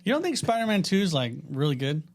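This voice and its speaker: Male podcast co-host, fairly high voice, lively swoops, slangy, opinionated, casual banter. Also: fast talker. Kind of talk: casual group banter about films.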